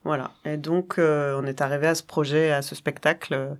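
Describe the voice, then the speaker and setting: A woman's voice: low-pitched, flat delivery, a little fast. Thoughtful woman explaining her circus work. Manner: thoughtful, searching for words, many fillers. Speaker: dramaturge of a circus company. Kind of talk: interview explanation.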